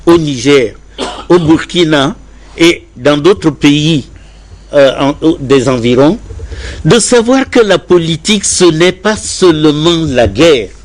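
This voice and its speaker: A man giving political commentary as a debate panelist, deliberate and repeating statements for emphasis. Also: a little high, animated. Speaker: panelist in a pan-African debate.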